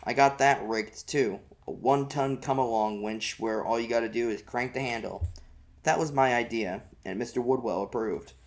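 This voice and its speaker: A man reads a story aloud in the first person. He has a medium-pitched voice and tells it in a folksy storytelling style, like a homemade audiobook. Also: moderate pace. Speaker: amateur audiobook reader.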